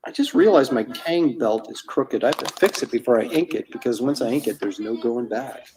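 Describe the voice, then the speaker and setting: A man's voice: mid-range voice, expressive, fairly quick, a little mellow, recorded from a distance, slight background noise, poor audio. A man in his fifties, a comic artist chatting casually and rambling through anecdotes while he inks on a stream. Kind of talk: casual chat while drawing.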